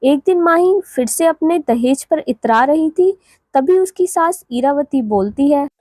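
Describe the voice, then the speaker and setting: A woman's voice: sits high, expressive, measured pace, darkish tone, no echo, clean studio sound. A woman giving scripted narration for a cartoon moral story.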